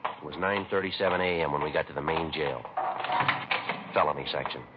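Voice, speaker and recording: An actor playing a businesslike police detective in a 1950s radio drama, clipped, deadpan and matter-of-fact. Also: male voice, rumbling low, brisk. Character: businesslike, unflappable male police detective sergeant. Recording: noisy lo-fi vintage radio recording.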